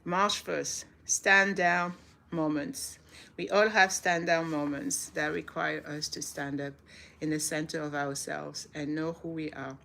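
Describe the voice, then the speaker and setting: A woman's voice: sits low, fairly even intonation, a little slow, vocal fry. Senegal-born woman with a French accent. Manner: earnest, repeats phrases for emphasis, laughs softly. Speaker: livestream host. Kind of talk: casual livestream talk, reading daily reflections.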